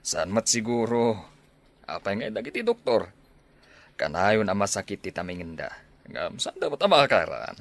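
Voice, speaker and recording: A man playing a plain-spoken, protective village chieftain father in a radio drama, with a Filipino accent and a measured delivery. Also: on the low side, big pitch swings. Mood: guarded.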